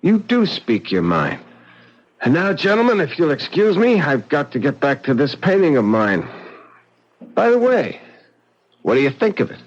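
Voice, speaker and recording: An actor playing a sardonic, mocking painter in a vintage radio mystery. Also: male voice, a little high, wildly swooping pitch, moderate pace, pauses often. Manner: mocking and sly, chuckling often. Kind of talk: vintage radio drama acting. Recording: dull old radio broadcast.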